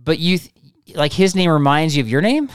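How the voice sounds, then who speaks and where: A man's voice: a little high, animated, fairly quick, smooth, no room sound, studio quality. A man hosting a casual podcast, teasing his co-host and laughing often.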